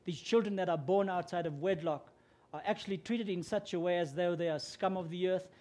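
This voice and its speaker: A man's voice: high-pitched, a little fast. Afrikaans-man preaching. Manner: earnest, grows louder and more emphatic when preaching. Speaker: guest preacher. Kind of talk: sermon.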